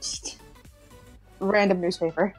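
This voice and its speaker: Mid-pitched woman in her twenties. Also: fairly even intonation, slow, soft background music. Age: 20s. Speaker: guest on a stream call. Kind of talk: casual chat.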